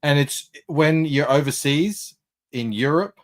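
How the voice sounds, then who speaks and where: A man's voice: fairly high pitch, a little slow. An Australian man chatting casually on a podcast, explaining things at length. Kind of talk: casual chat.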